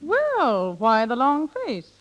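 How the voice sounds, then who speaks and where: Wry, knowing married sister. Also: female voice, high voice, wildly swooping pitch, takes it slowly, exaggerated voice. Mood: wryly amused. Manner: dry, knowing. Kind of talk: 1930s radio acting. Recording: dull 1930s radio recording.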